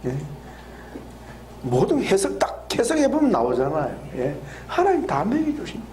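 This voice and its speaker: A man preaching to his congregation in a high voice that climbs and falls in wide, lively swings. He laughs through his lines and keeps firing questions for them to answer back, with a faint hiss beneath the recording. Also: moderate pace. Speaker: pastor. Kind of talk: sermon with call and response. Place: church hall with congregation.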